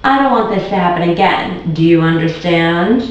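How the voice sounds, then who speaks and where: A woman's voice: lowish voice, animated, normal speed, close to an everyday voice. A woman voicing an orderly, weary but kind schoolteacher in a children's storybook. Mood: stern. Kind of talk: children's storybook read aloud. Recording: very echoey room, distant muffled mic.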